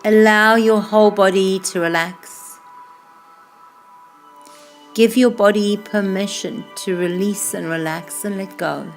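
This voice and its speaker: A woman leads a guided relaxation as a yoga instructor, with music playing behind her. Her pitch sits in the middle for a woman. She speaks slowly and soothingly, letting the ends of phrases fade softly. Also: fairly even intonation. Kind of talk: guided meditation, slow calm instruction.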